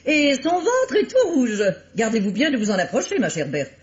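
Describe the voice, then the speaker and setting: An actress playing a pompous, bossy club president with an affected, condescending manner in a radio mystery. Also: female voice, high-pitched, huge pitch swings, moderate pace. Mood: self-satisfied, declamatory. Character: pompous, bossy lady president of a knitting circle. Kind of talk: old French radio mystery acting. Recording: dull, noisy old radio recording.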